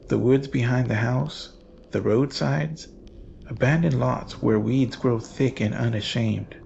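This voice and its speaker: Male horror narrator, solemn and portentous, very slow pace, creaky voice, dramatic pauses, slightly muffled recording. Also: mid-range voice, darkish tone, a little hiss or hum. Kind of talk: scripted horror story narration.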